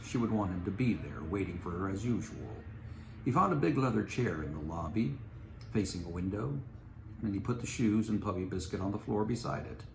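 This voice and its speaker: A man with a deep voice reads a story aloud. The recording is roomy, with a faint layer of noise. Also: creaky. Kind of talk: story narration, reading aloud. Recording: roomy, noisy home recording.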